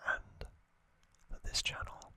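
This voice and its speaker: A man whispers as the host of an ASMR recording. His voice is fully unvoiced and breathy, with a bright, sibilant edge. He takes his time, speaking very slowly and gently with many pauses. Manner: slow, gentle, pausing delivery.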